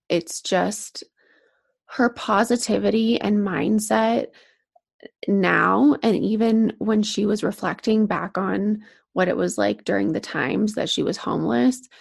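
A woman hosting a podcast, introducing her guest earnestly and softly, with gravelly, husky tones. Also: mid-range voice, slow pace. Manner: earnest, soft, with fillers. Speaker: podcast host.

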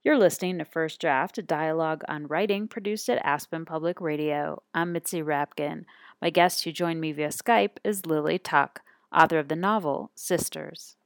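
A woman hosting a radio interview from a studio, asking questions in a calm, measured way. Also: sits low, no echo. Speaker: radio show host.

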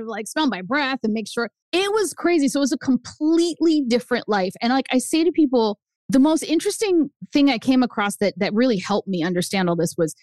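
A woman in her fifties whose first language is Spanish, telling her family story as a guest author, animated and jumping up in pitch for emphasis. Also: no room sound. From United States. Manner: animated, swings up high for emphasis. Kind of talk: personal storytelling in an interview.

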